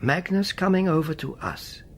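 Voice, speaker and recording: A man's voice: high voice, animated, normal speed, slight background noise. An actor playing an older male Czech spymaster in a radio drama, courteous and persuasive, often dropping to near a whisper. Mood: grave, pleading.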